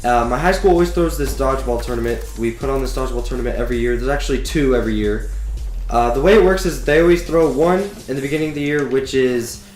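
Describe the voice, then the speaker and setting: A man's voice: medium pitch, fast talker, a little mellow. Teenage boy, slightly sick voice, rambling casual chat, echoey room. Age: teens. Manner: rambling, lots of fillers and restarts. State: sick, voice a bit off. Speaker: gaming YouTuber. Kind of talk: casual storytelling to camera. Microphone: webcam.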